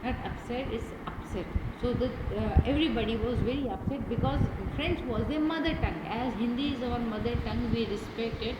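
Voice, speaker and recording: Indian woman teaching in an echoey room. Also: on the high side. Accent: Indian English. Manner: explains slowly, rephrases words and asks "right?". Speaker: school English teacher. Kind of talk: classroom lesson, mixing English and Hindi. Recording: echoey room with loud background noise.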